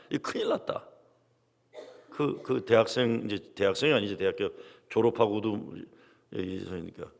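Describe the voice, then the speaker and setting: Gravelly male preacher in a big hall. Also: mid-range voice. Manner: lively storytelling, quoting congregants, dramatic drops to near whispers. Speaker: guest pastor. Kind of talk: revival sermon with storytelling. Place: large church sanctuary. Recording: long reverb of a large hall.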